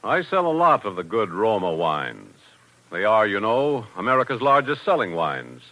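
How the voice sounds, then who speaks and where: A man's voice: on the low side, big pitch swings, moderate pace, bright, forceful tone, exaggerated voice, low-quality recording. A man playing a contented, folksy wine dealer in a 1940s radio testimonial ad. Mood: pleased, confiding. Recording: vintage 1940s radio broadcast.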